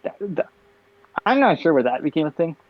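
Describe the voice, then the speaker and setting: A young man co-hosting a casual chat show, talking in a tired, flat monotone with bursts of laughter. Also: a little high, fairly quick, a little hiss or hum. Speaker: podcast co-host. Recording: dull, dry lo-fi home mic.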